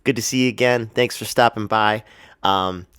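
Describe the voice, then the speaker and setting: A man's voice: on the low side, steady tone, normal speed, a bit bright, clean tone, no echo. A man with a congested, stuffy nose chats casually as a vlog host, rambling and hesitant in a self-deprecating way, close to the mic. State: congested, sniffling. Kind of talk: casual personal chat to camera. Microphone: close to the face.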